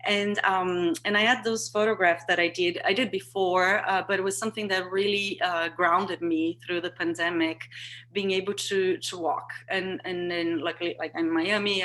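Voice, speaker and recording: A woman's voice: fairly low pitch, a bit bright, a little hiss or hum. A woman in her thirties whose first language is Portuguese, talking casually on a video call and often restarting as she searches for words. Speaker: workshop participant. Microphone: video call microphone.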